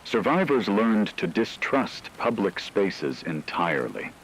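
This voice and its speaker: A man reading scripted, documentary-style dramatic narration in a measured, solemn way. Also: lowish voice, very animated, slight background noise, rough recording.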